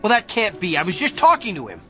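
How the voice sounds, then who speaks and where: A brusque, bright-voiced arrogant doctor. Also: male voice, high voice, huge pitch swings, quick pace, soft background music, full character voice. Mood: alarmed. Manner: brusque, self-assured. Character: brilliant, arrogant, tactless male doctor. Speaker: actor. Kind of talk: radio drama acting.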